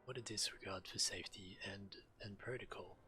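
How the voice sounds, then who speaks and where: An actor playing a fussy, wary scholar in a fantasy game, speaking in a precise, bookish, fretful manner. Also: male voice, fairly low pitch, fairly quick, pushed and bright, in a whisper. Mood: fretful complaint. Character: fussy, wary male scholar and artifact restorer. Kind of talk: scripted fantasy game dialogue.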